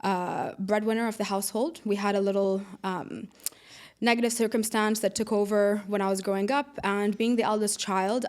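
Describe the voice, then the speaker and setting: Podcast guest: woman from the UAE, mid-pitched, informal conversational explainer and storyteller. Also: a little mellow. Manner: informal, explains with everyday analogies. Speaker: podcast guest, air traffic controller.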